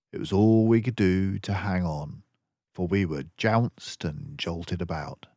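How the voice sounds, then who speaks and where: An older man reading a children's story aloud as narrator, expressively, with measured, dramatic phrasing. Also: low-pitched, darkish tone, no room sound.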